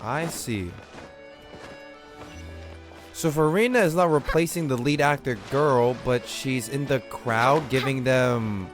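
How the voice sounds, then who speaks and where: A man's voice: medium pitch, takes it slowly, pauses often, music in the background. A young man streaming a game, reacting casually with slangy live commentary.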